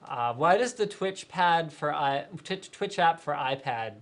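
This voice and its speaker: A talkative man hosting a live tech stream, reading out viewer questions and riffing on them. He speaks casually with plenty of fillers and restarts, energetic and opinionated. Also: a little high, a little slow. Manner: energetic, chatty, many fillers. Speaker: tech show host.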